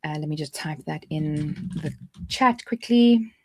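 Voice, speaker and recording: Chatty South African woman, lowish voice. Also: measured pace, dark tone, a little hiss or hum. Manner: chatty, jumps between points with restarts. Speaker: livestream host. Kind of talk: casual livestream chat.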